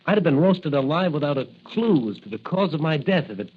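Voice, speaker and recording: A man's voice: fairly high pitch, very animated, a little fast, slightly rough, phone-quality sound. An actor playing a brave young patrolman and secret masked hero in 1940s radio serial style, on an old broadcast recording. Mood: breezy, eager.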